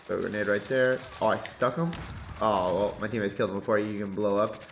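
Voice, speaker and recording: A young man with a stuffy, congested voice talks casually over his gameplay, speaking slightly low and relaxed between sudden excited outbursts. He uses a headset mic at his home setup. The sound is roomy and echoey, with a faint hiss underneath. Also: fairly quick. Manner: laid-back, excitable bursts during play. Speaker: competitive gaming YouTuber. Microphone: headset with boom mic.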